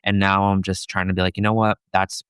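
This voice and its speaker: A young adult man with a soft, somewhat feminine voice, chatting casually as a podcast guest, with many fillers. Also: low voice, fairly quick, smooth, no echo, clean studio sound. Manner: soft-spoken, relaxed, many fillers like "you know" and "like". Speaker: podcast guest, content creator. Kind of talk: casual conversational interview.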